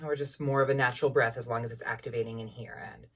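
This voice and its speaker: A woman in her thirties with a low-placed speaking voice, leading a singing warm-up at home in an encouraging, instructive way. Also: steady tone, quick pace, far from the mic. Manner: encouraging, instructive, demonstrates vocal sounds. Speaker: voice teacher. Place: small room at home with a piano.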